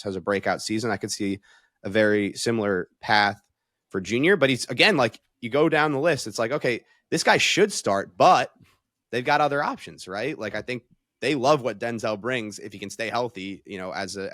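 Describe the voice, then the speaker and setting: A man's voice: fairly low pitch, a bit bright, no room sound, clean studio sound. A man analysing football in a casual panel conversation, talking things through in an analytical, conversational way. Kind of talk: casual sports panel talk.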